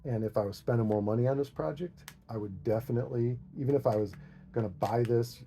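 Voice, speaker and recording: A man's voice: medium pitch, a little fast, mellow. A middle-aged man giving a casual, unscripted DIY explanation, thinking aloud in a rambling, self-deprecating way. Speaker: DIY hi-fi hobbyist presenter.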